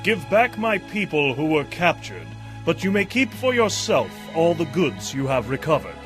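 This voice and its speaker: A man's voice: fairly high pitch, big pitch swings, normal speed, fairly bright tone, faint music behind. An actor playing a commanding ancient king in a dramatized audio Bible, with an imperious manner. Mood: demanding.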